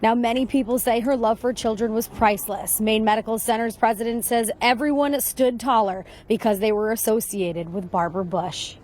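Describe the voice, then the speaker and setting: A woman's voice: on the high side, steady tone, moderate pace, hardly any pauses, a little mellow, a little hiss or hum. An American woman giving a live TV news report from the field. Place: on location at a hospital.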